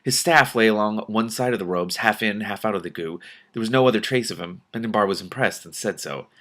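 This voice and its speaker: A man's voice: on the low side, brisk, fairly bright tone. A man reading a fantasy novel aloud as host, expressively, with casual off-the-cuff asides.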